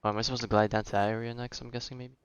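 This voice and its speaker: A man's voice: mid-range voice, little pitch movement, racing, smooth, no echo, highs cut off. A man giving casual live gameplay commentary as a streamer, slangy and rambling as he thinks aloud. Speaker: game streamer.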